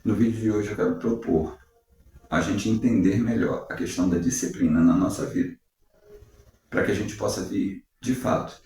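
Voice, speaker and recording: Mellow man in an echoey room. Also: low-pitched. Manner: measured, uses rhetorical questions. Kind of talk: reflective devotional talk. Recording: very echoey room, distant mic.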